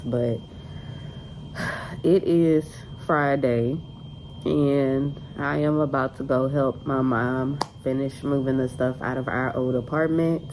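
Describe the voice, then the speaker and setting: A woman's voice: sits low, warm tone, slight background noise, rough recording. A woman in her twenties with a stuffy, congested voice, chatting casually to her vlog audience. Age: 20s. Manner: chatty, rambling, says y'all. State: congested with a cold. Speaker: vlogger. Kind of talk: casual vlog talk to camera. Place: in a car on the way to errands.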